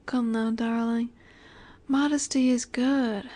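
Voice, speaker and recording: A woman playing a poised, teasing young vampire in an intimate audio roleplay, speaking gently and unhurriedly with breathy asides. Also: fairly high pitch, steady tone, slow. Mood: warm, caring.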